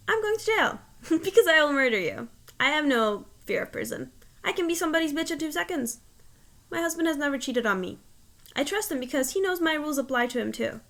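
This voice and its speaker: A woman reading a humorous personal essay aloud in an expressive, emphatic, mock-stern way. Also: a little high.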